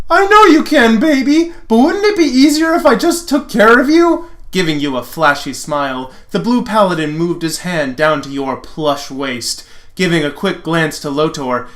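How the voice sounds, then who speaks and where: A man's voice: a little high, fairly bright tone. A man with a wry, self-mocking manner, reading fan fiction aloud as host with informal commentary and dramatic narration.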